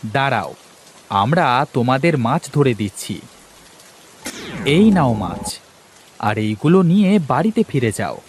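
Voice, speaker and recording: A man voicing a friendly, curious talking river frog in a cartoon, husky and coaxing. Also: mid-range voice, very animated, a little slow, long pauses, slight background noise. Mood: kindly. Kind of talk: Bengali cartoon story acting.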